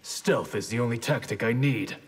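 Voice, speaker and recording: Guy playing a stern honorable ninja master in a game, raspy, terse, mid-range voice. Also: medium pitch, moderate pace, lightly acted. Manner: terse, resolute, formal. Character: stern, honorable masked ninja clan grandmaster. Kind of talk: video game character acting.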